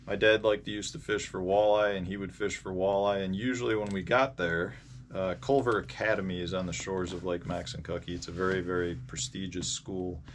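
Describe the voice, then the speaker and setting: An American man in his forties telling casual, rambling, halting stories as the host of a fishing vlog. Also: low voice, flat delivery. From Indiana, United States. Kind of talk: casual vlog storytelling about fishing gear. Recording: clear background noise.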